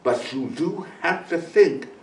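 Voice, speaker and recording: A man voices a young boy pupil in a children's storybook. The voice is raised and very animated, keen to answer. The room echoes around it. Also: on the high side, slow, pitched above the performer's own voice. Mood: eager. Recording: roomy echo, distant microphone.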